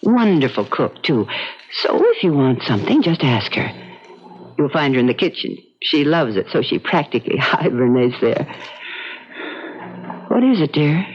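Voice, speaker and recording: Soothing, forgiving wife, coaxing and expressive, in vintage radio drama, muffled sound. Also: female voice, deep voice, moderate pace, pauses often, breathy edge, exaggerated voice. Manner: tender, theatrical, coaxing. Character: soothing, forgiving wife comforting her troubled husband. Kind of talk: 1970s radio drama acting. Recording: noisy dull 1970s radio recording.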